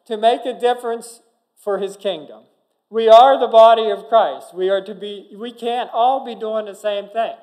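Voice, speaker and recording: A middle-aged male pastor preaching a sermon from a church pulpit, earnest, with sudden loud emphasis and frequent restarts. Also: high voice, low-quality recording. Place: church sanctuary behind a pulpit. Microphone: pulpit microphone, far camera.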